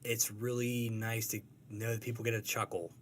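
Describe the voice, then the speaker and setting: A man being interviewed as a guest, talking in a casual, halting, self-deprecating and joking way. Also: fairly low pitch, flat delivery, slight background noise. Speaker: guest, comic strip artist.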